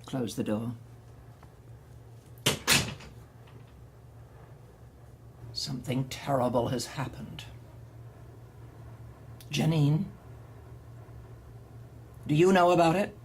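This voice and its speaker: A woman's voice: extremely low pitch, animated, takes it slowly, pauses often, darkish tone, slight background noise, heavily acted. A woman playing a stern, controlling older woman who runs a reeducation center, coldly sweet and menacing. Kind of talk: audio drama acting.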